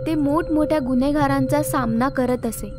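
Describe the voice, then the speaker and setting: Woman narrating a scary story, high voice, music going behind her. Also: fairly even intonation, normal speed. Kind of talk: scripted horror story narration.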